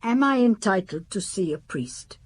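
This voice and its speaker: An actress playing a devout, guarded housekeeper in a radio drama, speaking formally and haltingly. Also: female voice, medium pitch, animated, husky. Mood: guarded, stubborn. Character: devout, guarded spinster housekeeper to a French count.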